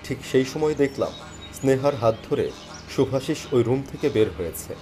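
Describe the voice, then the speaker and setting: A man's voice: medium pitch, animated, normal speed, darkish tone. A man giving dramatic, scripted first-person narration of a story. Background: background music.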